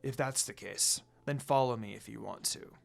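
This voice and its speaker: A narrator voicing a stoic male demon lord, quietly protective, in terse murmured lines. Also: medium pitch, normal speed, gravelly, voice pushed down.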